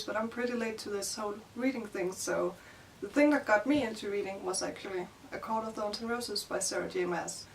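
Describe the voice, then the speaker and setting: A woman's voice: medium pitch, creaky, distant mic, rough recording. Young woman, Danish accent, shy and hesitant book vlogger, mic set a little back, faint hiss. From Denmark. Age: young adult. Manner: shy, hesitant, many fillers like "like" and "you know". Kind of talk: casual vlog answering tag questions.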